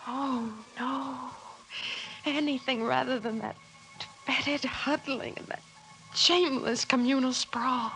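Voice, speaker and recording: An actress playing a fragile, wistful, unhappy young wife in a 1950s radio drama, hesitant and often hushed. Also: female voice, high voice, steady tone, takes it slowly, full character voice, poor audio. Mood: anguished, pleading. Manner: breathy, hesitant, often dropping to a hush. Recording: hissy 1950s radio recording.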